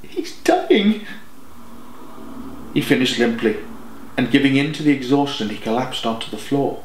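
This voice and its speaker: Guy narrating a dramatic audiobook, mid pitch, pretty echoey room, bit of hiss. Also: fairly even intonation, brisk. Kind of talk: scripted dramatic story narration.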